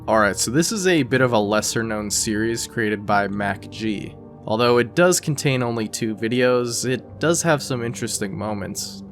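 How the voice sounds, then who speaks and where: A man's voice: medium pitch, expressive, moderate pace, hardly any pauses, faint music behind. A man narrating an explainer video in a casual, conversational, scripted style. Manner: conversational, informal.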